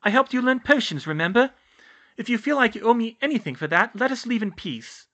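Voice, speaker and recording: A man's voice: very high voice, big pitch swings, a little fast, bright, forceful tone, voice pushed up, full character voice. A man voicing a fierce, determined and protective teenage witch girl in a story, speaking in an urgent, emphatic way. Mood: indignant, pleading. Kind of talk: audiobook character voice.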